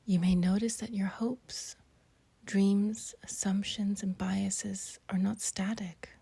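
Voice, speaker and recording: A woman leading a scripted guided meditation in a gentle, breathy near-whisper, speaking in short phrases with long pauses. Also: medium pitch, level tone.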